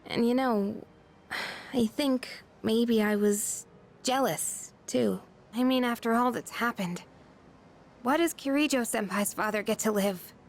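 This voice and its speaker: American teen game girl, hurt n bitter, slow talking, creaky higher voice. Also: a little hiss or hum. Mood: bitter, defeated. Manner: emotional, vulnerable, confiding. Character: teenage schoolgirl fighter, hurt, bitter, grieving daughter. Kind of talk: English video game voice acting.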